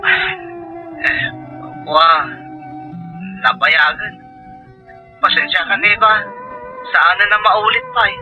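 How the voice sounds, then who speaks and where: Man acting a high-pitched, sheepish Ilocano husband. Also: huge pitch swings, lo-fi sound, soft background music, exaggerated voice. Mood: apologetic. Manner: halting, stammering. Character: evasive, sheepish gambling husband making excuses. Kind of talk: Ilocano comedy drama acting.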